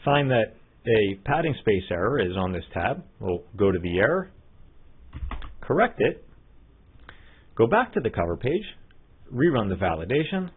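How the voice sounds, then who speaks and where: Low, muffled male tutorial narrator. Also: big pitch swings, normal speed, long pauses, breathy edge. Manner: methodical, instructional. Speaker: software training instructor. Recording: muffled, phone-like narrow-band audio.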